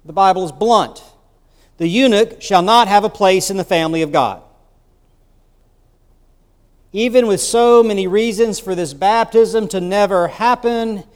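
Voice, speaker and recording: A man's voice: sits high, expressive, normal speed. A man preaching a sermon as bishop, telling the story in a conversational way with rhetorical asides. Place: church sanctuary. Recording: long church reverb.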